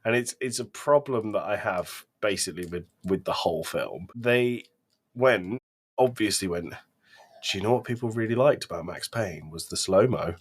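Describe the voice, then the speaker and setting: A man's voice: lowish voice, lively intonation, normal speed, slightly rough. A man co-hosting a podcast, discussing films casually with emphatic, exasperated delivery. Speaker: podcast co-host.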